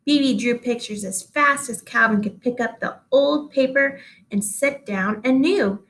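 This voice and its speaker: High-voiced woman reading a children's story. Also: measured pace, a bit bright. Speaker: read-aloud storyteller. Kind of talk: expressive children's storybook narration.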